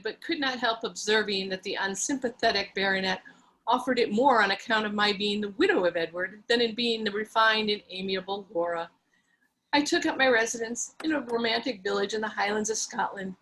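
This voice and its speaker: Theatrical high-voiced woman narrator. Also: a bit bright, poor audio. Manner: theatrical, breathless melodrama. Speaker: narrator and lead reader. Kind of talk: amateur dramatic reading over video call. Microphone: video call.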